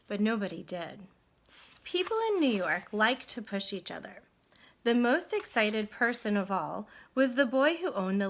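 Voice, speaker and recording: A woman teacher reading a storybook aloud to children in an expressive, guiding way. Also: a little high. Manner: addresses children directly, guiding them with questions. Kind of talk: read-aloud lesson, expressive narration.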